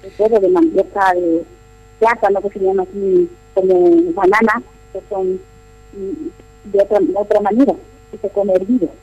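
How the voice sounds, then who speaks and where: A woman's voice: mid-range voice, moderate pace, muffled recording. A middle-aged nun answering interview questions in a chatty, explanatory way, often repeating her phrases. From Paraguay. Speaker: nun, missionary at a boarding school.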